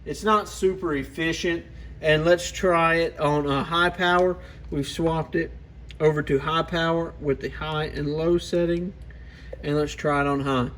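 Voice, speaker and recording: A man's voice: on the high side, steady tone, unhurried, fairly bright tone, slight background noise. A man hosting a casual hands-on product walkaround, talking in a plainspoken, informal, explanatory way. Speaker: product reviewer and channel host.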